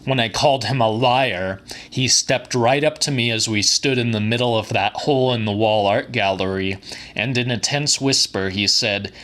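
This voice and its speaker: A man giving a deliberate, theatrical dramatic reading of literary weird fiction as an audiobook narrator. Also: mid-range voice, fairly bright tone.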